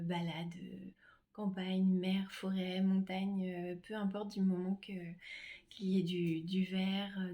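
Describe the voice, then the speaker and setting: Middle-aged lady reflexologist chatting about herself, gentle, lots of pauses and ums, mid pitch. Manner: gentle, hesitant, many pauses and fillers. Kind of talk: casual self-presentation to clients.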